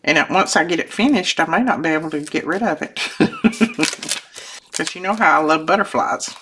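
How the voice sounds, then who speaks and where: An older woman with a notably low voice chats in a homey, rambling way as she crafts at her desk, often breaking off to chuckle. Her voice sounds a little thickened from sinus trouble. The recording has clear background noise and small clicks. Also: wildly swooping pitch, normal speed. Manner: chatty, homey, self-interrupting, chuckles often. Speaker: craft channel host. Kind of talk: casual crafting chat while working.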